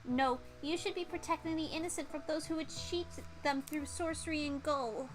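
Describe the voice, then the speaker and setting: A woman's voice: high voice, normal speed, over music, pitched above the performer's own voice, close to an everyday voice. A woman voicing an earnest, apologetic spork monster who was once a dog, in a video game read-aloud. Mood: solemn warning. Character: apologetic former-golden-retriever spork monster, earnest and courtly.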